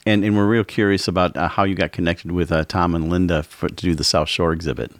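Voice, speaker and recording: A male co-host of a public radio arts show, chatty and relaxed, with many filler words. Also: low voice, fast, no echo.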